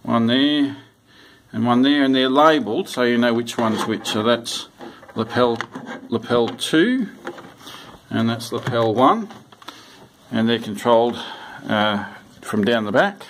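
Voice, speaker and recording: Man, medium pitch, informal instructor, halting unscripted delivery, repetitive plain instructions. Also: lively intonation, fairly bright tone, slight background noise. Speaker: church sound system instructor. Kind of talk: informal unscripted walkthrough demonstration. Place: indoors in a church.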